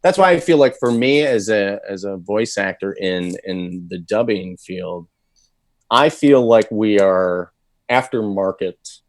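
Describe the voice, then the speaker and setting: Male voice actor guest, low-pitched, dry sound, easygoing rambling talk. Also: animated, unhurried, no echo. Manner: rambling, thoughtful, many fillers and restarts. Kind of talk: casual interview chat.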